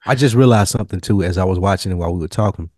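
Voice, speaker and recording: A man's voice: low voice, rapid-fire, a little mellow, no echo, studio quality. A man co-hosting a casual podcast, asking questions in a playful way. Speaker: podcast co-host. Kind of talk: casual chat.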